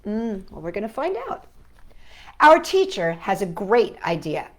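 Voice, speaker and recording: A woman hosting a children's storytime, reading a picture book aloud and chatting gently with young viewers, asking them questions. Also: mid-range voice, sing-song, a little slow.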